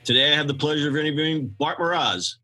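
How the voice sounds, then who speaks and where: A man hosting a podcast, introducing his guest and asking questions in an easygoing, measured way. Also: on the high side, bright, forceful tone, soft background music. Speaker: podcast host. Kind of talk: podcast interview.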